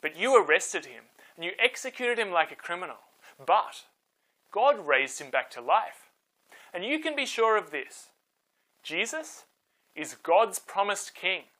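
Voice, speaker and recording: A man's voice: sits high, very animated, measured pace, pushed and bright, exaggerated voice, rough recording. A man voicing a bold, earnest male preacher addressing a crowd emphatically in a children's story. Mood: earnest, emphatic. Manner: emphatic, declarative.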